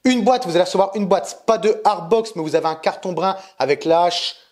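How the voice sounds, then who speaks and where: A man's voice: high-pitched, brisk, a little mellow. A man with a French accent co-hosting a casual collectibles unboxing, explaining details and teasing his partner. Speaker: co-host of a collector channel.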